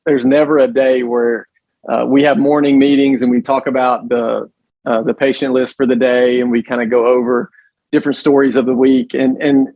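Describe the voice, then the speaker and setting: A man with a loud voice and a Southern US accent, talking emphatically in an interview with many restarts. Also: mid-range voice, little pitch movement, moderate pace, dry recording, highs cut off. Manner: emphatic, frequent restarts and fillers. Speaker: guest, pregnancy center director. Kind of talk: conversational interview.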